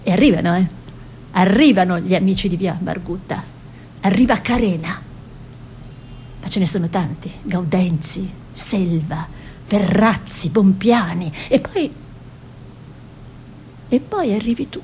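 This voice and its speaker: Earthy low-voiced village storyteller. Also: female voice, lively intonation, darkish tone, a little hiss or hum. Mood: wry storytelling. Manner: colloquial, deliberate storytelling with pauses. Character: earthy village peasant woman telling of her hill village. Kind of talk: theatrical radio monologue.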